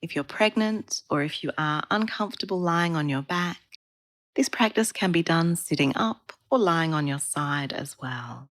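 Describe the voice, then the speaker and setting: Slow, low-voiced woman guiding meditation. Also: no room sound, clean studio sound. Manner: calm, soothing, unhurried with long pauses. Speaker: yoga therapist guiding a relaxation. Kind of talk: guided meditation narration.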